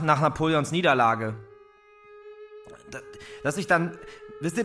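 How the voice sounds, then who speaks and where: An actor playing an agitated, intense young teacher who speaks haltingly, repeating himself and breaking off mid-sentence. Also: male voice, a little high, sharp tone. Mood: rambling, lecturing. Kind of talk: stage drama acting.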